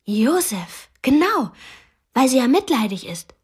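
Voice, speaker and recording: Slow, dreamy girl. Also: high-pitched, sing-song, slightly rough, full character voice. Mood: playful, infatuated. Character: dreamy, romantic young girl play-acting wounded soldiers. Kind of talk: German radio drama acting.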